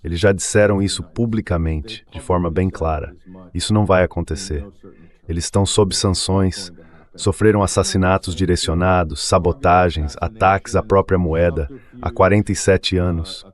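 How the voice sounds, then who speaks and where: A man with a Brazilian accent speaks in a low-pitched voice. He delivers analytical commentary as an interview guest, in the form of a translated voice-over. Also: steady tone, moderate pace.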